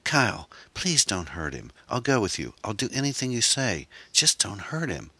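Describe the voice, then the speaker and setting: A scared young woman as a male narrator puts her on, pleading softly and breathily. The voice is lifted well above the reader's deep natural register, in a dry audiobook recording. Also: animated, normal speed, dry recording, exaggerated voice. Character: frightened, pleading young woman held at gunpoint.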